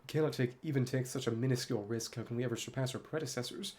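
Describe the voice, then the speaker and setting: A man's voice: medium pitch, very fast, a little mellow, lightly acted. A man voicing an obsessive, defiant game inventor, reading log entries in lofty, formal language. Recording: clear background game noise.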